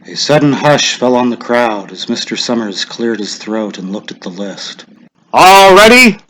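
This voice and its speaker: A man narrating a short story as a dramatic reading, measured and deliberate. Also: lowish voice, rough recording.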